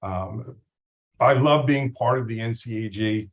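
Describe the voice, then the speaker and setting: A man's voice: mid-range voice, a little slow. A man speaking as an association's board president in a casual webinar, upbeat and enthusiastic, with frequent ums and uhs. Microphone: audio only on a video call. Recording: dull lo-fi call audio.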